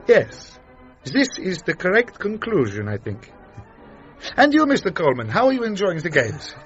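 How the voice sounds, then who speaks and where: An official of the Nazi regime in a radio drama. His speech is formal and deliberate, his courtesy laced with menace. The sound is dull, with faint music underneath. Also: high-pitched, wildly swooping pitch, fairly bright tone, full character voice. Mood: silky menace. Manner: formal, measured, insinuating politeness.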